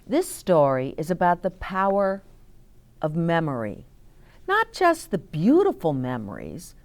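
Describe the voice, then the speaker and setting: Slow, expressive woman reading to children. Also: low-pitched. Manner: deliberate, explains and questions as if to young children. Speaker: storytime host reading picture books aloud. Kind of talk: expressive read-aloud for children with asides to listeners.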